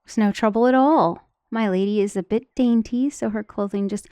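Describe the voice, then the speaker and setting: A woman's voice: medium pitch, normal speed, mellow, no room sound, natural voice. A woman playing a dutiful head servant of a country estate in an audio drama, formal, polite and obliging. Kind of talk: scripted audio roleplay drama.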